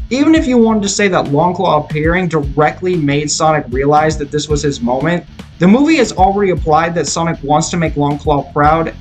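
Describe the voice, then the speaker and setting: A man with a slightly high voice narrates a scripted video review of a movie. He is explanatory and opinionated, with music playing behind him.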